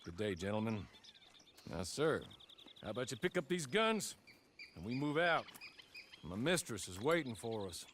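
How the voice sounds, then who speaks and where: An actor playing a polite, coolly menacing Old West hired gunman in a video game, speaking in a measured, formal way. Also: medium pitch, very animated, background noise, lightly acted. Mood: courteous, businesslike. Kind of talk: scripted western game acting. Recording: music under the voice.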